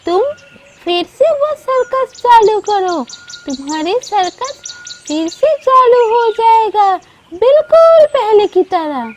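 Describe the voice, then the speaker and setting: A woman's voice: very high voice, sing-song, slow pace, very noisy, voice pushed up, full character voice. A woman voicing a kind, encouraging magical talking macaw in an earnest, emphatic children's cartoon manner. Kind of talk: children's moral cartoon dialogue. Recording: loud birdsong and ambience behind.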